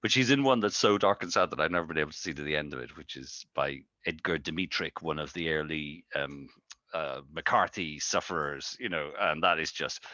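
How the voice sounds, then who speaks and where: A man talking casually and hesitantly about old films, with many pauses and fillers. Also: a bass voice, pushed and bright, no room sound. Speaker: interviewee. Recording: slightly muffled, lo-fi.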